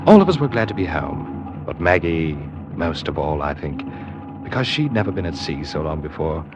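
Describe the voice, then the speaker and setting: A man playing a watchful seaman who narrates a classic radio drama with deliberate, weighted pauses. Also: extremely low pitch, sing-song. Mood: wry, watchful. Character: weathered, watchful seaman recounting his voyages. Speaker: narrator and character actor. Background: music.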